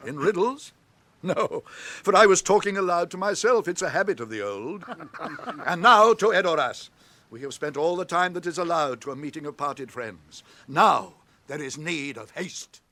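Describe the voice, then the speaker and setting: An old wizard of radio drama, commanding and solemn. His fairly high voice sits in a dry, close space. He declaims grandly, with cryptic authority. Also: lively intonation, moderate pace, bright, forceful tone, dry recording. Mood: urgent, stately.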